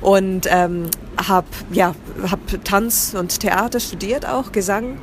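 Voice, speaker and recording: A woman's voice: medium pitch, slow, slight background noise. A woman, chatting in an interview, often restarting and searching for words. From southern Germany. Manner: searching for words, many restarts, drops into English. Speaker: guest, theatre company founder. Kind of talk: casual interview.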